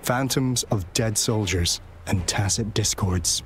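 A man's voice: fairly low pitch, slow, faint music behind. An actor playing a knowing young man in a video game, explaining things with theatrical, playful emphasis. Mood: knowing, ominous. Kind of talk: video game character dialogue.

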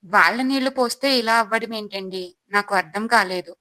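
A woman's voice: mid-range voice, moderate pace, sharp tone, no room sound, clean studio sound, natural voice. A woman playing a worried, earnest and upright village wife and mother in a moral storybook tale. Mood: puzzled.

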